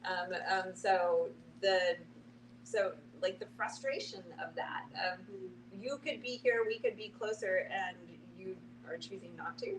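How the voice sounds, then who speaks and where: A woman's voice, set back from the microphone with obvious background noise. She speaks in a halting, conversational way at an average pitch, as part of a casual group discussion. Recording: distant mic with clear background noise.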